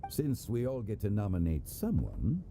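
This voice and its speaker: A man playing a composed, learned gentleman in a video game, speaking formally, politely and with measured dignity. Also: fairly low pitch, huge pitch swings, gravelly, noisy background, full character voice. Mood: courteous, calm. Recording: music behind the voice. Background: music.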